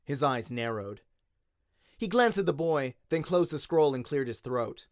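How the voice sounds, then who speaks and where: A man's voice: mid-range voice, animated, measured pace, dry recording, clean studio sound. A man with an Australian accent giving dramatic scripted narration as a storyteller in a radio-play style. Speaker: storyteller, voice actor.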